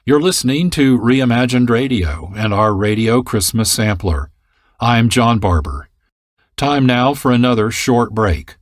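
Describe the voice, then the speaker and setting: A man's voice: mid-range voice, animated, a little slow, no echo, clean studio sound. A man presenting a radio programme as host in a scripted, announcer style. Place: dry studio, close mic.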